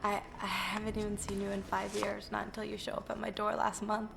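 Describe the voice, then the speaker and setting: An actress playing a driven, anxious young investigator in a video game, blunt, sweary and a little hesitant. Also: female voice, medium pitch, completely flat delivery, fairly quick, gravelly, over music. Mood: uneasy. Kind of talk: video game cutscene acting.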